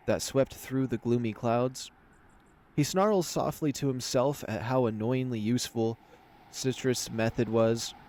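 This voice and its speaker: A man's voice: mid-range voice, a little mellow. A man reading scripted fantasy story narration as the narrator of a visual novel.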